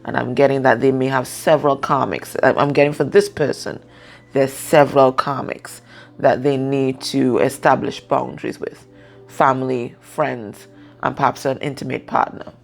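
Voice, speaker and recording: A woman with a husky voice giving a casual, unscripted card reading, pausing and often checking in with the listener. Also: extremely low pitch, flat delivery, soft background music. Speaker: tarot and oracle card reader.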